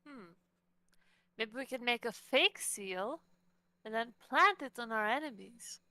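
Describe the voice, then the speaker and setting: Bright, high-voiced woman at a game table. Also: lively intonation, a little slow, no room sound. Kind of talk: casual game table chat.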